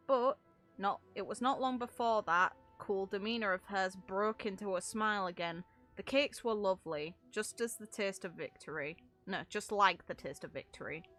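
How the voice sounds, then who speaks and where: A woman's voice: mid-range voice, sharp tone. A woman reading aloud casually with wry, sarcastic asides and the odd stumble, like a streamer reacting to game lore.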